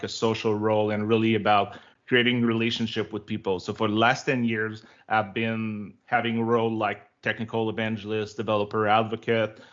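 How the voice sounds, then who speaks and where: Male, 40s, Quebec French accent, lower register, informal and chatty interview guest, slightly muffled recording. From Quebec, Canada. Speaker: interview guest, developer relations director. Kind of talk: casual interview answers.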